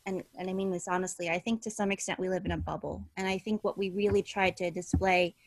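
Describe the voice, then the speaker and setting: A woman's voice: lowish voice, steady tone, quick pace, dry recording. A woman from Connecticut speaking as a screenwriter guest in an interview, earnest and emphatic in her conversational answers. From Connecticut, United States.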